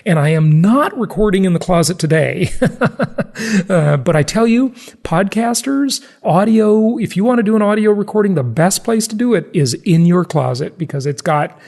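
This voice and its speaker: An American man hosts a casual podcast in a fairly high voice with a noticeable creak. He talks in a chatty, informal way, dropping in asides as he addresses his listeners. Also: animated, moderate pace, darkish tone. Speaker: podcast host. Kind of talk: casual solo podcast talk.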